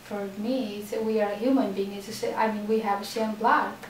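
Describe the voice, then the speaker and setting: A woman's voice: mid-range voice, steady tone, moderate pace, recorded from a distance, a little hiss or hum. Young woman from Nepal, echoey room. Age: 20s. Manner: plain, simple non-native English. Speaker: interviewee. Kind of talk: casual interview answers.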